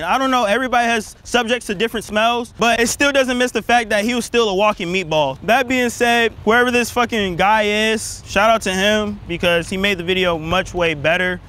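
A man's voice: sits high, pushed and bright. A young man who hosts prank videos, talking in casual, slangy, taunting and repetitive banter. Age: young adult. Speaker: prank show host. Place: large hardware store and its car park. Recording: noisy store and outdoor location.

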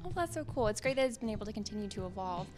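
A woman's voice: mid-range voice, animated, rapid-fire, a little mellow, faint music behind. A woman interviewer asking prepared questions in an upbeat, eager way at an indoor press event, speaking into a handheld mic.

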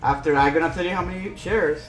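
A man's voice: on the high side, quick pace. A young man chatting casually as co-host of a live stream. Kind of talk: casual chat. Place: indoor room, live stream. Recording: roomy sound, music behind. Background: music.

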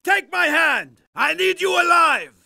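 An actor playing a vengeful, commanding middle-aged Soviet sniper in a video game, with a Russian accent, a gravelly, husky voice and dramatic, deliberate delivery. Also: male voice, very high voice, animated, pushed and bright, heavily acted. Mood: desperate, furious. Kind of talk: video game character acting.

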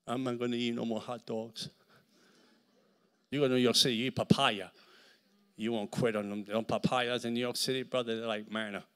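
A middle-aged man with a Puerto Rican accent preaching a sermon with a handheld mic in a large church hall, swinging between shouts and near-whispers. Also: medium pitch, flat delivery, brisk, long pauses, clean tone. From Puerto Rico. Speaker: guest preacher.

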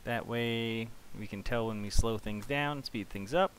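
A man hosting a casual software tutorial, talking informally and thinking aloud as he works. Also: mid-range voice, a little slow. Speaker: tutorial host. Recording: clear background hiss.

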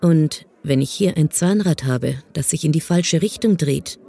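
An actress as a cynical police superior in an audio drama. The voice is lowish, dark and husky. She talks down to her subordinate with smooth, patronising menace. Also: unhurried, heavily acted. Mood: veiled threat. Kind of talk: fan audio drama acting.

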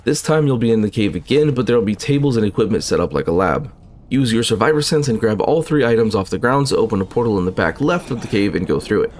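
Low-voiced man narrating a game guide. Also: darkish tone, slight background noise. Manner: plain, instructional. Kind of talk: scripted walkthrough narration. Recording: faint game ambience behind voice-over.

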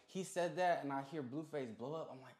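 A man's voice: fairly high pitch, fairly quick, a loud crowd. A young man chatting casually as an interview guest, in slangy, conversational talk with frequent check-in phrases. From Hawaii. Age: young adult. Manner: slangy, peppered with "you know what I mean". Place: small recording studio room. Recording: roomy, echoing sound.

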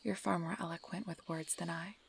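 A woman's voice: fairly low pitch, steady tone, brisk, vocal fry, slightly rough. A woman playing an obsessive, sweet-talking fey lover in an ASMR roleplay, speaking intimately and often breathily. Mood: dreamy, doting. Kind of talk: ASMR roleplay, close personal attention.

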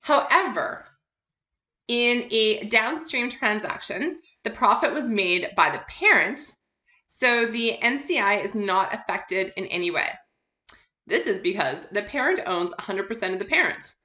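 Bright-voiced woman lecturing in an echoey room. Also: medium pitch, lively intonation, measured pace. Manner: conversational, informal. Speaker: accounting course instructor. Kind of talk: recorded lecture, explanatory with casual asides. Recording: roomy, echoey sound.

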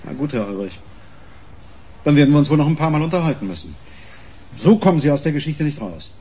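A man's voice: fairly high pitch, very animated, quick pace, noisy background. A man playing a pressing, impatient police interrogator in a radio crime drama, dry and insistent.

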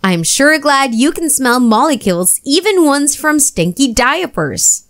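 A woman voicing a curious, excitable little boy in a storybook, exclaiming and asking questions. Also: high voice, unhurried, pitched above the performer's own voice, heavily acted. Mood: delighted.

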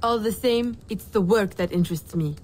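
A woman's voice: mid-range voice, moderate pace, darkish tone, slight background noise, lightly acted. An actress playing a poised, determined young society lady in a video game, formal and politely composed. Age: young adult. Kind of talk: video game cutscene acting. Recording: music behind the voice.

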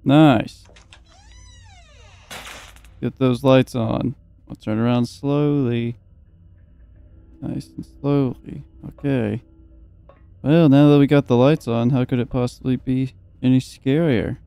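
A man's voice: medium pitch, fairly even intonation, slow pace, vocal fry, pauses often, warm tone, clean tone, faint music behind. A young man giving casual let's play commentary, joking and swearing and at times reading game text aloud. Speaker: gaming video host. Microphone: close, dry microphone.

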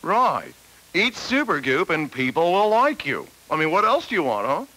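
Scheming 1970s cartoon adman: brash, greedy, lively swooping delivery, bright edge. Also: a little high, heavily acted, rough recording. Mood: pushy. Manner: brash, fast-talking salesman's patter. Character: scheming, greedy male advertising executive. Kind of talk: 1970s animated educational film acting.

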